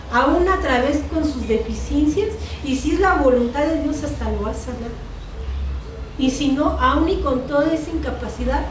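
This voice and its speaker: A woman from Mexico with a Mexican Spanish accent teaching a Bible class in an earnest tone, repeating phrases and correcting herself. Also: sits high. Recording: echoey room, distant mic, noisy.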